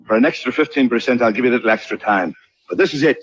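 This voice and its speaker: A man's voice: medium pitch, very fast, dull audio, a little hiss or hum, lightly acted. A man playing a smug, threatening alien gangster boss in film dialogue. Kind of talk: film dialogue played from a clip.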